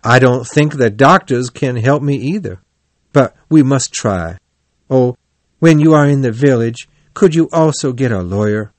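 A man's voice: medium pitch, animated, measured pace, dry recording, natural voice. A man voicing a melodramatic, self-pitying male toad in an animal storybook, in a weak, plaintive, martyr-like way. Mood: plaintive, feigning illness. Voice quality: weak, faint.

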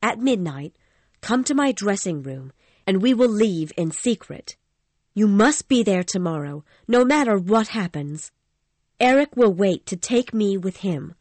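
A man's voice: lively intonation, a little slow, darkish tone, no room sound. A man doing a frightened young woman's voice. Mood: pleading. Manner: pleading, anxious falsetto. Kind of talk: storybook reading.

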